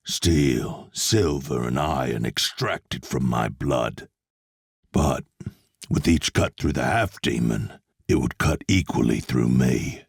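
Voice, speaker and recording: A man playing a grim, sardonic cowboy blacksmith demon hunter in a video game, a gravelly husky voice and a dry, laconic manner. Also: very deep voice, dry recording, heavily acted. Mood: grim, brooding.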